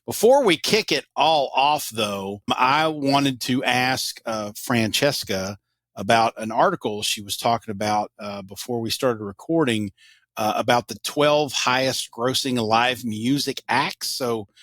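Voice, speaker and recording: A man's voice: medium pitch, measured pace, fairly bright tone, no echo. Male podcast co-host, enthusiastic storyteller, dry room. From Eastern Kentucky. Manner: earnest, enthusiastic, repeats himself. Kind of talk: casual storytelling chat.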